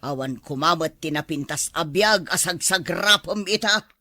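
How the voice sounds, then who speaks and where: A woman's voice: low voice, moderate pace, bright, forceful tone, no room sound, clean studio sound. An actress playing a haughty, stingy middle-aged rich mistress and stern mother in a radio drama, scornful and imperious. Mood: stern, scolding. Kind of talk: Ilocano radio drama acting.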